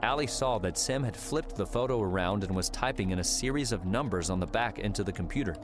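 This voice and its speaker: A man's voice: on the low side, fairly quick. A man reading an audiobook in a dramatic storytelling style. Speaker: audiobook narrator. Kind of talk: dramatic scripted narration. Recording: music under the voice. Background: music.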